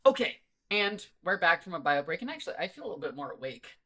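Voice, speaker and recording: Male podcast host, bright forceful voice, loose animated rambling chat, salty language. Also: fairly high pitch, fast. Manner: rambling, animated storyteller, swears freely. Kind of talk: casual chat.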